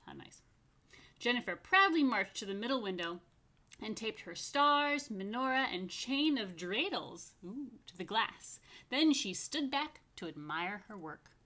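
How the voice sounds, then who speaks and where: A woman rabbi reading a picture book aloud to children, expressively stretching key words and adding chatty asides. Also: a little high. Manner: expressive, dramatic emphasis and drawn-out words. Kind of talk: animated storytime read-aloud with chatty asides.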